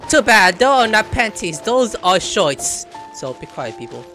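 A man's voice: sits high, big pitch swings, a little slow, bright, forceful tone. A man doing casual, playful gameplay commentary, chatting to himself and the game. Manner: playful, talking to self and game. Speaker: video game streamer. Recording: music and game sound behind voice.

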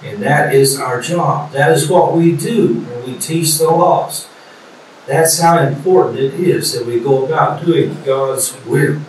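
An older man, a preacher, gives an earnest sermon with deliberate repetition over a video call. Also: on the high side. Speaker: professor and preacher. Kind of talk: sermon, graduation address. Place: indoor study or office, video call. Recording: echoey video call, distant, some noise.

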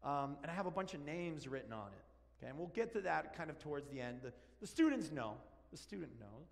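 A man in his forties from Argentina, a native Spanish speaker, preaching an animated, joking sermon while walking around the stage. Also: a little high, a little fast, creaky. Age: 40s. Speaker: pastor. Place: large church sanctuary. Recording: long church hall reverb.

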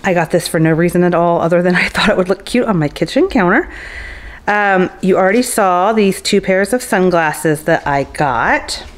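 A woman's voice: fairly low pitch, expressive, normal speed. A woman in her thirties chatting casually through a grocery haul vlog at home, upbeat and friendly, with little laughs and fillers. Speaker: vlogger. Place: home kitchen and living room.

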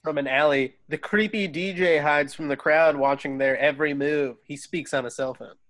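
A man's voice: a little high, normal speed, fairly bright tone. A man narrating stage directions from a script in an amateur table read over a video call.

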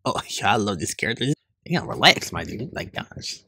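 A man reading a webcomic aloud as a host, excitable, with casual asides and stumbles over hard names. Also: fairly low pitch, brisk, a little hiss or hum. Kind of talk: reaction read-along with casual asides.

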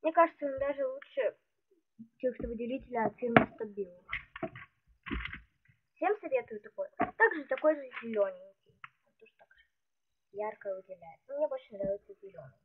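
A woman's voice: fairly high pitch, expressive, normal speed, pauses often. A woman vlogger showing her stationery at a desk, talking in a chatty, hesitant way while she is ill. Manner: chatty, hesitant, many fillers. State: ill, voice affected. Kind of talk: casual show-and-tell. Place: indoors at a desk, hands on table. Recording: tinny phone-like audio with loud noise.

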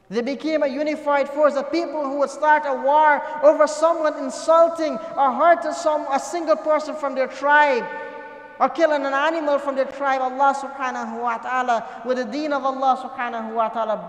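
High-voiced imam preaching in an echoing hall. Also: male voice. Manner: impassioned preaching, repeats phrases, rises to near-shouts. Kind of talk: Eid sermon. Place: small mosque hall, indoors. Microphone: gooseneck mic on a lectern. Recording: echoey hall, long reverb.

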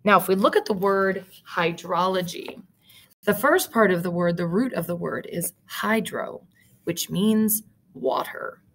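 A middle-aged woman teacher reading a storybook aloud, with deliberate, explanatory asides. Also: medium pitch, vocal fry, slight background noise. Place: small indoor room with photo-covered wall.

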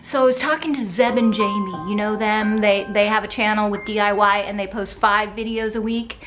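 A woman's voice: medium pitch, steady tone, a little fast, over music, poor audio. A middle-aged woman talking casually to camera in a room at home, chatty, candid and self-deprecating, as a craft vlogger. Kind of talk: casual personal vlog talk to camera. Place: indoors, furnished room at home.

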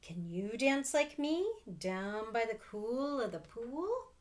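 A woman voicing an exuberant, playful dancing frog from a picture book, exclaiming with drawn-out squeals. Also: on the high side, big pitch swings. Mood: gleeful.

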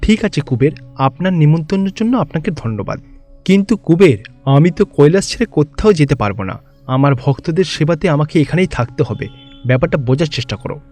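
Man as a calm, gracious great god. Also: a little high, brisk, soft background music. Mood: serene.

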